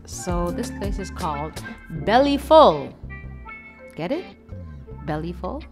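Low-pitched chatty young woman over music. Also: takes it slowly. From Canada. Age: young adult. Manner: chatty, playful, expressive emphasis. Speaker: vlogger, food reviewer. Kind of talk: casual vlog voice-over narration. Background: background music.

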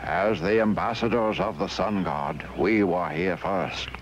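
A man playing a cold, controlling elderly criminal mastermind in a vintage adventure cartoon, measured and condescending. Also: low voice, a little hiss or hum. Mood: cold, commanding. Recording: muffled old cartoon soundtrack, loud music.

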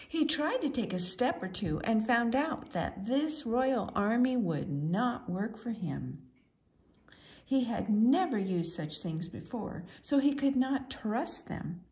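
A woman's voice: mid-range voice, very animated, slow pace, darkish tone. A woman reading a children's storybook aloud with theatrical, sing-song emphasis, as a storyteller does for young listeners. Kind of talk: expressive read-aloud storybook narration.

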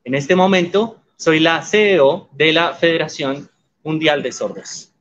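A man voicing guests' introductions in live interpretation, even and measured. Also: a little high, a little hiss or hum. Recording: slightly muffled audio.

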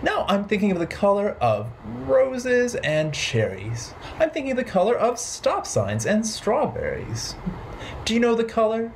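Slow, swooping children's storytime man. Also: high-pitched, slight background noise. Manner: playful, simple, expressive address to young children. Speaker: children's storytime host at a library. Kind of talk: children's storytime, rhythmic and sing-song. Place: bright living room at home.

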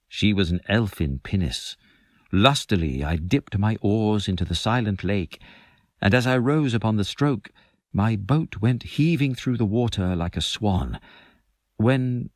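A man giving a dramatic poetry reading in a measured, solemn manner. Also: on the low side, darkish tone, no room sound, studio quality. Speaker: poetry reader.

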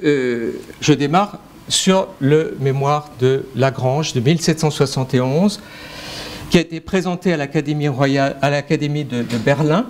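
A middle-aged man with a French accent delivers a conference talk on mathematics in a lecture hall. His voice sits slightly higher than most men's. He stops and restarts his sentences often, filling gaps with hesitation sounds, and speaks into a handheld microphone. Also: moderate pace, slight background noise. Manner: hesitant, many fillers and restarts. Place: lecture hall with projector screen.

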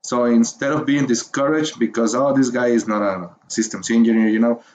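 A man's voice: mid-range voice, steady tone, darkish tone, a little hiss or hum, rough recording. Hesitant Mexican-accented man teaching. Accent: Mexican Spanish. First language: Spanish. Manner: hesitant, many fillers and pauses while finding words; encouraging. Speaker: course instructor. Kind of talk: screencast tutorial narration.

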